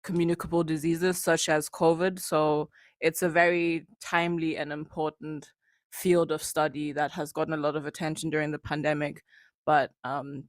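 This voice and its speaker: A woman's voice: sits low, no room sound. A young woman from Germany introducing herself at a Q&A, explaining things informally. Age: young adult. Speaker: political party member introducing herself. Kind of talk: informal spoken self-introduction at a Q&A. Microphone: handheld or passed microphone, close.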